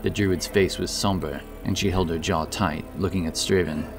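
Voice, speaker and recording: Deep-voiced man narrating dramatic fantasy. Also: steady tone, moderate pace, gravelly, music in the background. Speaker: audiobook narrator.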